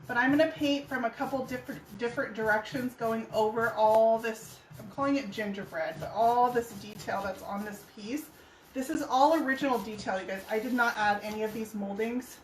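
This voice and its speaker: A woman in her thirties with a hoarse voice, hosting a live furniture painting tutorial and chatting as she works. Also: on the high side, brisk. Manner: chatty, laughs easily. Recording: roomy, distant mic, faint noise.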